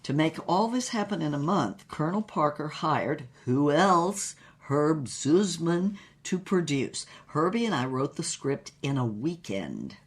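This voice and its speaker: A woman playing a worldly, brassy older former starlet who tells racy memoirs in a husky voice, wryly and unhurriedly, with dramatic pauses. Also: deep voice, very animated. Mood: wry, breezy. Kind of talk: comic scripted memoir narration.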